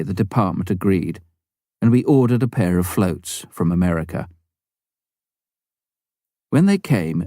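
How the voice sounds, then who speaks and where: A man with a very low voice reads a memoir as an audiobook narrator. His pitch moves in lively sweeps through the measured, deliberate phrases. The tone is mellow and warm, with the expressive shaping of a storyteller. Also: no echo, studio quality. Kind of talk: scripted narration of a missionary memoir.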